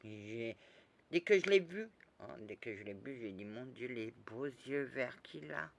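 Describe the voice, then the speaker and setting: A woman telling a rambling, lively story in a casual spoken way, full of restarts and asides. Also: extremely low pitch, measured pace, creaky, a little hiss or hum. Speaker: storyteller recounting past lives.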